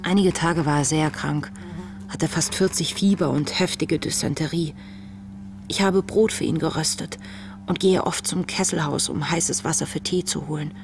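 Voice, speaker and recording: A woman playing a compassionate young camp inmate reading out her letters, measured and reflective, in a radio play. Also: sits low, fairly even intonation, background noise. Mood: reflective, tender. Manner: reflective, measured, sometimes hushed. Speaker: lead actress, letter reader. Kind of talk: intimate literary letter narration in a radio play.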